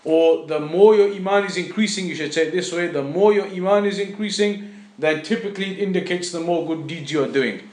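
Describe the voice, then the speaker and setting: A man's voice: high-pitched, a little fast, a little mellow. A man teaching a religious lesson off the cuff, explaining didactically and repeating phrases for emphasis. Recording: echoey room.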